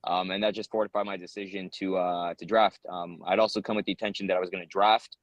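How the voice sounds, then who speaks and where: Fast-talking young American man, many ums. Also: low voice, little pitch movement, no echo, highs cut off. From United States. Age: young adult. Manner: earnest, rambling, many ums and uhs. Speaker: interviewee, soldier. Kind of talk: casual interview answers.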